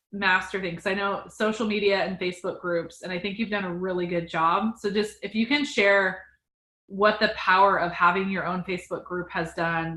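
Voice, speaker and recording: A woman's voice: fairly low pitch, pushed and bright, echoey room. A woman business coach hosting a casual interview, talking in an encouraging, conversational way.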